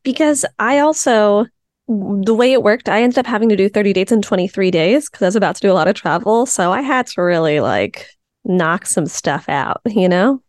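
A woman's voice: medium pitch, lively intonation, moderate pace, no room sound. 30s woman comic on a podcast, chatty, lots of ums, dry close mic. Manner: chatty, laughing easily, filler words. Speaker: comedian guest. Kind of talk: casual podcast chat.

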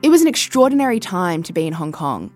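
Female correspondent host, 30s; scripted, reflective narration, low pitch with lively intonation. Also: faint music behind. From Australia. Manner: measured, reflective.

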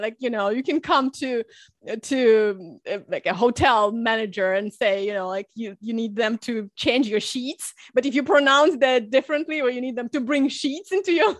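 A woman from Russia, raised in Ukraine, speaking English as a podcast guest. She talks in an energetic, chatty way, laughing often and explaining through examples. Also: a little high, dry recording. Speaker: guest, public speaking coach. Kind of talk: conversational podcast interview.

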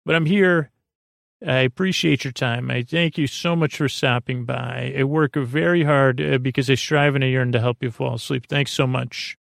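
A man hosting a sleep podcast in a creaky voice, meandering and halting through a silly improvised bedtime ramble. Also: mid-range voice, fairly even intonation, no room sound, studio quality.

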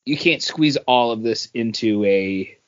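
A man co-hosting a podcast, talking casually about comics and feeling his way haltingly through his thoughts. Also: medium pitch, lo-fi sound. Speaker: podcast co-host. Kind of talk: casual comic book review chat.

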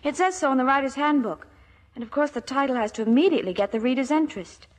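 A melodramatic American teenage girl in an old radio comedy. She speaks high and loftily, terribly earnest, with a long reverb around her. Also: lively intonation, fast. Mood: lofty, earnest. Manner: lofty, earnest, self-important. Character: earnest, melodramatic teenage daughter, aspiring writer. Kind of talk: 1950s radio comedy acting.

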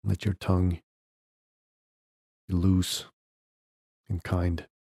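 A man guides a meditation in a very low, mellow voice. He speaks slowly, leaving long pauses between short, gentle instructions. The sound is dry and close, with no room around it. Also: level tone, gravelly, no room sound. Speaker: podcast host guiding a meditation.

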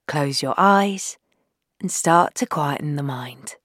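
A woman leading a guided meditation in a soothing, gentle, unhurried way, leaving long pauses between phrases and softening into breathy endings. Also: low voice, expressive, a little hiss or hum. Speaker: guided meditation leader, founder.

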